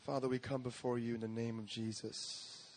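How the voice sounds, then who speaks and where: A man's voice: mid-range voice, flat delivery, normal speed, a little mellow, slight background noise. A young man from Korea, a pastor, praying and then telling his testimony in a casual, slangy way, acting out quoted voices. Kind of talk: sermon with prayer and testimony.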